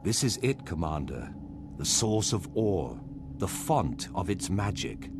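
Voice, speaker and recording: An actor playing a determined ally who leads a ritual to cleanse a cursed land, speaking earnestly in a game cutscene. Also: male voice, sits low, a little slow, background noise, heavily acted. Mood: resolute. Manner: earnest, resolute, steady. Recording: game audio over music.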